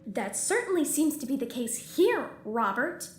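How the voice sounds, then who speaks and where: A woman playing a feisty, combative spaceship crew member in an audio drama, sharp and indignant in manner. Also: high voice, sing-song, measured pace, roomy sound, a little hiss or hum. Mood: terse.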